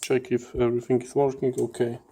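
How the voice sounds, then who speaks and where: A man giving hands-on teardown commentary as a channel host, in halting phrases with repeated words. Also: mid-range voice, little pitch movement, warm tone, slightly rough. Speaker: host of a radio electronics channel.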